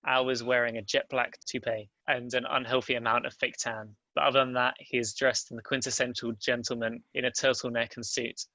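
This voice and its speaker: A man's voice: medium pitch, flat delivery, a little fast, few pauses, sharp tone, no echo, low-quality recording. A man narrating stage directions at a script table read.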